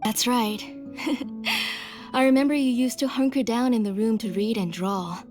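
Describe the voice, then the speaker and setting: A gentle, sorrowful mother played by an actress speaks earnestly in a slightly high middle-aged voice. Soft music sits behind this video game dialogue. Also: fairly even intonation, a little slow, close to an everyday voice. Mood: fond, wistful. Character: gentle guilt-ridden mother, a former master smith.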